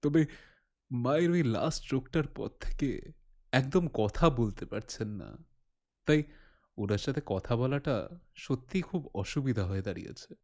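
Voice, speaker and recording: A man's voice: medium pitch, lively intonation, darkish tone. An actor playing an anxious, deferential middle-aged businessman in an audio drama, hesitant and placating. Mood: worried, apologetic. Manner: hesitant, placating, hurried agreement.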